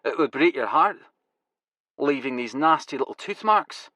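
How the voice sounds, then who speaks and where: A man voicing an excitable older grower with a raspy Glasgow accent, eager and proud of his farm. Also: medium pitch, normal speed, dry recording, clean studio sound, voice pushed up. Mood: grim. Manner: eager, wild-eyed, proud.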